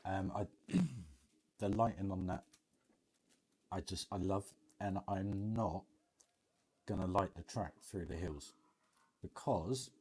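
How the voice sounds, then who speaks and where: A man's voice: low-pitched, a little mellow, slightly rough. A man hosting a casual game livestream, chatting directly to his viewers as he gives commentary. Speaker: livestream host.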